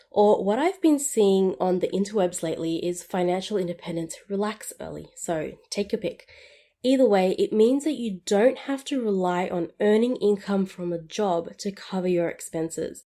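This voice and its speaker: A woman in her twenties hosts a scripted solo podcast with an upbeat, friendly manner. Her voice has a soft, mellow tone at a middling pitch. She explains each step clearly and evenly. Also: expressive, moderate pace. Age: 20s. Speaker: podcast host.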